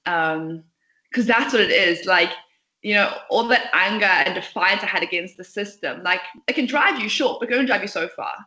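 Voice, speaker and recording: A woman's voice: mid-range voice, moderate pace, bright, forceful tone. A young woman, a company founder, talking passionately and at length as a podcast guest. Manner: passionate, chatty, outspoken. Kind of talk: podcast interview. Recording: echoey room.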